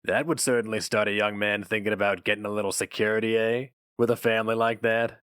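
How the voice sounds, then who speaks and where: A man's voice: on the low side, little pitch movement, fast, fairly bright tone, clear, resonant tone, dry recording, clean studio sound, lightly acted. A man voicing a polite, unimpressed young male interviewer with a pleasant, philosophical manner.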